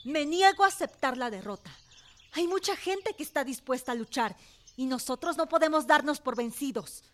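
A woman's voice: high voice, lively intonation, moderate pace. An actress playing a passionate, determined young revolutionary lady with a Mexican accent in a radio drama, emphatic and resolute. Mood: resolute, urgent. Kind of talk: Spanish-language radio drama acting.

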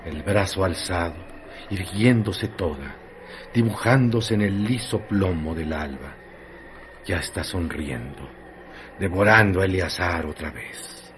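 A man narrating a radio drama in a slow, lyrical way. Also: low-pitched, animated, a bit bright, a little hiss or hum.

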